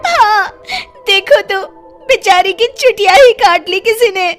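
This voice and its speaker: Woman doing a worried village wife in a kids story, really high n wailing, kinda scolding. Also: a little slow, faint music behind. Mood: distressed. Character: anxious, shrill village wife and mother. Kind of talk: dramatized moral-story dialogue.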